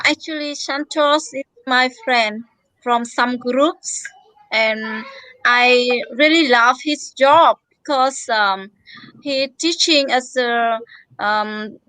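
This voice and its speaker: A woman's voice: high-pitched, pushed and bright, clear, resonant tone, no room sound, poor audio. A woman on a group call speaking hesitant, non-native English with frequent fillers. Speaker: participant. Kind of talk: casual group video call.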